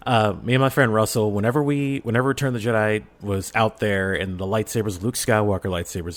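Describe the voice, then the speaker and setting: A middle-aged man chatting casually as a podcast guest and telling personal stories with enthusiasm. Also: on the low side. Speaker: podcast guest, audio drama creator. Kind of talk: casual chat, personal storytelling.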